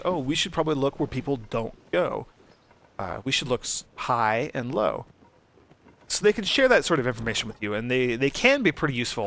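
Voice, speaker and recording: A man's voice: mid-range voice, a bit bright. A man hosting a Let's Play, giving casual, easygoing commentary and explanations.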